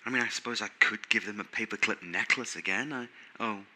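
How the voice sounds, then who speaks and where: A man's voice: on the low side, fairly quick, bright, forceful tone, a little hiss or hum. A man playing a vain, sarcastic, rambling robot crewmate in an audio drama, insecure under the swagger. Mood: musing, self-satisfied. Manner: theatrical, sarcastic, rambling monologue. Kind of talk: scripted audio drama monologue.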